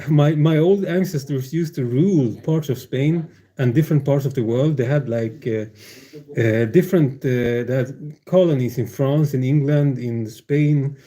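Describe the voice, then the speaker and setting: A man's voice: medium pitch, measured pace, dark tone. A man chatting casually on a livestream, meandering and hesitant, with many fillers and restarts and frequent chuckles. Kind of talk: casual rambling stream chat.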